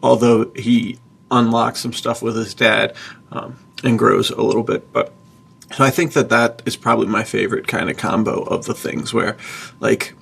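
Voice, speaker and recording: A man with a fairly low, husky voice and a lot of creak in it. He co-hosts a podcast in a casual, informal way, often thinking aloud as he goes. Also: steady tone, moderate pace, a bit bright. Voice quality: husky, gravelly. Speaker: podcast co-host. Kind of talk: casual chat.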